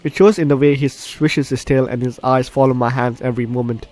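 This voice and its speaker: A man's voice: medium pitch, fairly even intonation, fairly quick, darkish tone. A man hosting a game playthrough, reading the story aloud with reactions, often stumbling and correcting his words. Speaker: gaming video host. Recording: game music behind the voice. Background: game music.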